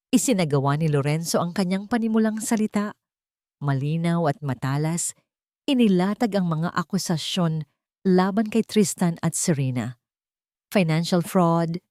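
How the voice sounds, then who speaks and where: A woman's voice: on the low side, a little mellow, no room sound, studio quality. A woman reading a scripted dramatic story as narrator, in a solemn, theatrical manner.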